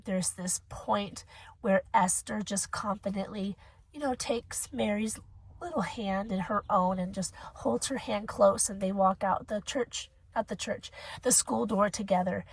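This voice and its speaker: A young woman with a hoarse voice from a cold, rambling casually as she reviews a book for her channel. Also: medium pitch, darkish tone, dry recording, rough recording. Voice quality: husky. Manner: chatty, rambling, emotional.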